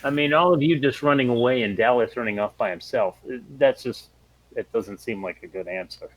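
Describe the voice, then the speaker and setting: A man's voice: mid-range voice, fairly quick, low-quality recording. A man talking casually as a player in a tabletop role-playing game.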